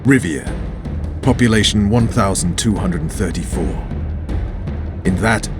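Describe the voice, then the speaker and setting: An actor playing a stern special forces commander in a video game, speaking in a formal, clipped, authoritative way. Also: male voice, deep voice, measured pace, music in the background. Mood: grave, formal. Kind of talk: dramatic video game acting.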